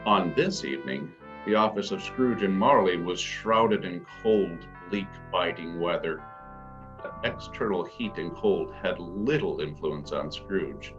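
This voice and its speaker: A man narrates a scripted story in a low, deep voice. Music plays clearly behind him, and the recording is slightly muffled. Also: a little slow. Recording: dull lo-fi audio. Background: loud brass music.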